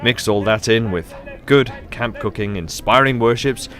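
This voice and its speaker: A male radio news reporter narrating a scripted feature in a lively voice. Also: mid-range voice, a little slow. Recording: voice-over with loud music bed.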